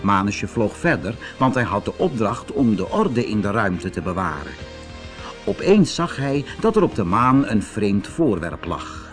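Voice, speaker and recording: A man's voice: fairly low pitch, sing-song, a little slow, soft background music. A man narrating a scripted children's story as an audiobook reader.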